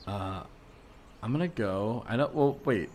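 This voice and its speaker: A man improvising a skeptical, uneasy ordinary neighbor in a comedy podcast scene, reasoning patiently in a hesitant, deadpan way. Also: fairly low pitch, measured pace, a little hiss or hum. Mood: reluctant.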